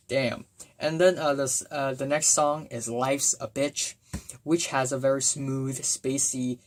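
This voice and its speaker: A young man reviews an album in a loose, chatty way, his voice worn raspy from too much talking. He piles up fillers and breaks into sudden bursts of excitement. His pitch sits right in the middle. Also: a little hiss or hum. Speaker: music reviewer. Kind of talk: casual spoken album review.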